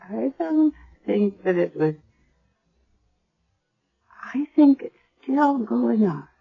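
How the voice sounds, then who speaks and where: An actress playing a frail, tender, dying blind grandmother in a radio drama, speaking gently and haltingly. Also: fairly high pitch, sing-song, full character voice. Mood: gently probing. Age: older. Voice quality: husky, frail. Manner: slow, gentle, halting delivery. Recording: dull old 1970s radio broadcast.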